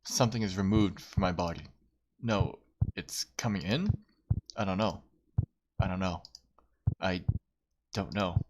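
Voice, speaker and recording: A man's voice: low-pitched, measured pace, pauses often, noisy background. A man streaming a game, reading aloud and giving casual commentary.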